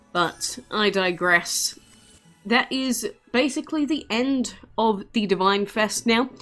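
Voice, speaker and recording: A woman's voice: medium pitch, a bit bright. A woman giving casual, chatty gameplay commentary in an informal, self-deprecating way as a video host.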